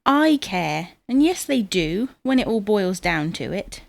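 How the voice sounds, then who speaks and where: A woman playing a brisk, sarcastic, bossy but caring wife in an audio comedy drama, with a dry, chiding manner. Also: medium pitch, sing-song, creaky, dry recording.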